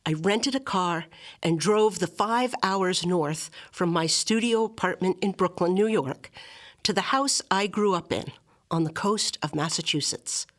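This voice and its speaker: A woman reading a literary memoir aloud as scripted narration, with measured, articulate and expressive phrasing. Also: fairly low pitch. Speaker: reader of a literary memoir excerpt.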